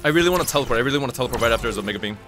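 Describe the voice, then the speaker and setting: Excitable man commentating a fighting game. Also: mid-range voice, racing, over music. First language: Korean. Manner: excitable, swears freely, talks to himself. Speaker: gaming channel host. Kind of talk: live gameplay commentary.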